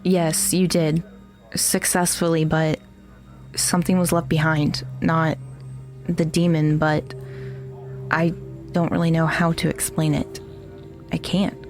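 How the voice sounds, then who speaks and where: A woman playing an earnest, regretful new recruit in an audio drama, speaking sincerely with halting pauses. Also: low voice, little pitch movement, a little mellow, faint music behind. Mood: regretful, confiding.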